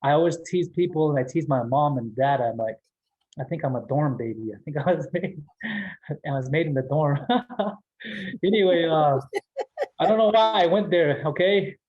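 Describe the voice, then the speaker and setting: A man's voice: a little high, moderate pace, long pauses, darkish tone, lo-fi sound. A man from the Navajo Nation in New Mexico, giving a casual motivational talk as guest speaker, joking and laughing often.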